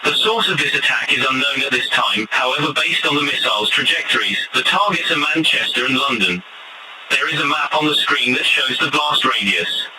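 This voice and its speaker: A man's voice: medium pitch, lively intonation, a little fast, a loud crowd, natural voice. A man voicing a stern government emergency broadcaster, reading an official warning in a formal, authoritative way. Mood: stern, urgent. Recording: distant radio-like sound, heavy background din.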